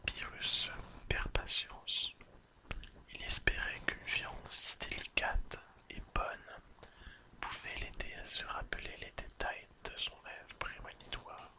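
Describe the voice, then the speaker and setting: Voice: takes it slowly, rough recording. A person whispering an ASMR episode recap in a careful reading manner. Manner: careful reading, apologises and corrects slips. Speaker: ASMR video creator.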